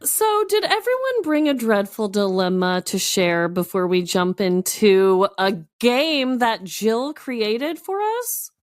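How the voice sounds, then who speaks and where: A woman's voice: mid-range voice, lively intonation, slow, few pauses, no room sound, clean studio sound. A woman co-hosting a podcast, with casual questions and banter. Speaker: podcast co-host.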